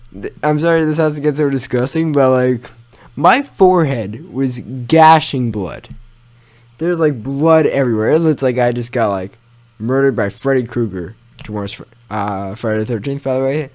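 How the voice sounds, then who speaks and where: A man's voice: medium pitch, a little slow, darkish tone. A young man telling a personal story in a casual, informal way, mildly sweary and poking fun at himself. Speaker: gaming channel storyteller.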